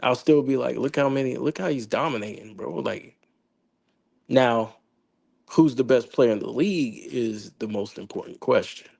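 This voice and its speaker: A man hosting a casual sports chat, hoarse and slangy, rambling with lots of restarts. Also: medium pitch, normal speed, a little mellow. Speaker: podcast host.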